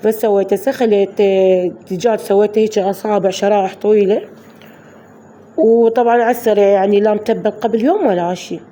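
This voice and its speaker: A woman with an Iraqi Arabic accent, hoarse from a flu, chatting through a voice-over of her daily home routine for her viewers. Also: mid-range voice, fairly even intonation, fast, mellow. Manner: chatty, addresses viewers as girls. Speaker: vlogger.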